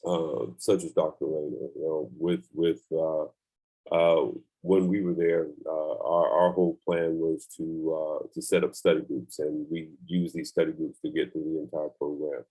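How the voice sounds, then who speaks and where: Very deep, husky older man. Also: flat delivery, dark tone, poor audio. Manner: halting, many fillers. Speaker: alumnus speaker. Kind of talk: virtual panel introduction. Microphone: video call.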